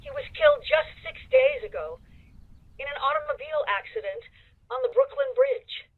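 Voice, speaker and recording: A woman's voice: extremely high pitch, big pitch swings, normal speed. A woman playing a guarded, solemn lady answering a household telephone in a radio play, formal and dramatic, heard through a phone filter. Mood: solemn, distressed. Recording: muffled telephone line.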